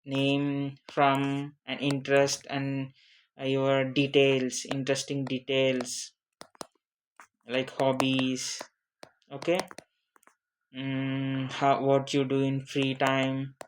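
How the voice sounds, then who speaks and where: A non-native English speaker gives an informal lesson at a slow, halting pace. The teacher repeats short phrases and keeps checking for understanding. The pitch sits in a middle range, with faint noise behind the voice. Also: monotone. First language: not English. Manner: halting, repetitive, frequently checking with "okay?". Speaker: online teacher of interview English. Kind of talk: informal spoken lesson with examples.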